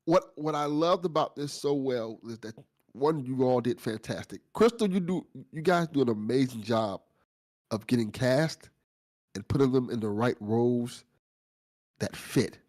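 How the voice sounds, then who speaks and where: A man's voice: medium pitch, normal speed, darkish tone. A man hosting a casual after-show discussion, effusive and informal in his praise. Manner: effusive, informal, lightly sweary. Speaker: after-show host of a fiction podcast.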